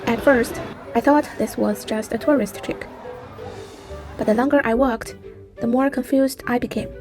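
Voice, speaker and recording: A woman narrates a travel vlog in calm, scripted voice-over. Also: on the high side, normal speed, over music. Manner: calm storytelling.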